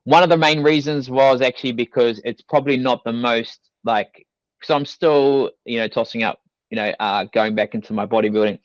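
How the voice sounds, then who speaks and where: A man's voice: medium pitch, normal speed, no echo. A young man with a New Zealand accent, a fitness coach chatting as a podcast guest, rambling loosely and leaning on fillers like "you know" and "like". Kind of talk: casual podcast interview. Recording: dull dry studio sound.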